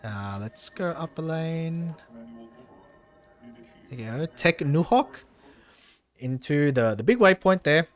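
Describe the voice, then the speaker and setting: A man's voice: a little high, very animated, slow, long pauses, faint music behind. A man chatting casually through a live game stream, repeating phrases for emphasis, his voice a little tired and strained.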